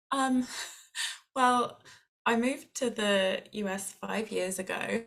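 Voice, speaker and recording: Woman with a gravelly, creaky voice. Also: fairly high pitch, extremely slow, a bit bright. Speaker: short story writer. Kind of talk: casual talk about own writing process.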